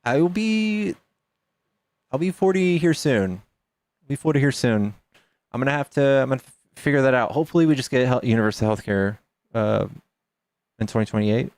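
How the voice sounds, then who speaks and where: A man's voice: medium pitch, expressive, moderate pace, long pauses, a little mellow, no room sound. A man in his thirties chatting casually on a livestream, joking with viewers and reading out donations. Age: 30s. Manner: chatty, reads donations aloud, jokes with chat. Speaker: streamer.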